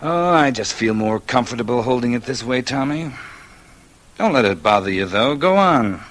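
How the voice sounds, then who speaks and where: An actor playing a smug, cold-blooded gangster boss with mockingly casual menace, in 1940s radio noir style. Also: male voice, medium pitch, lively intonation, normal speed, a bit bright, natural voice. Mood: smug, menacing.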